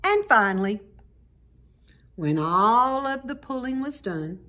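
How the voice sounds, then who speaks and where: A woman reads a rhyming children's story aloud. Her voice is fairly high and very animated, moving at an unhurried pace. She stresses words dramatically, as a storyteller does. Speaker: storybook reader.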